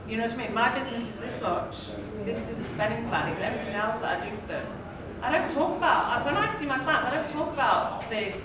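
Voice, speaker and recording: A woman's voice: fairly high pitch, quick pace, hardly any pauses, a loud crowd. Echoey, distant woman telling stories. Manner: chatty, animated storytelling with frequent restarts. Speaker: guest speaker at a training workshop. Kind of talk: anecdotal talk to a group. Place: meeting room with an audience. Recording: very echoey room, far off, noisy.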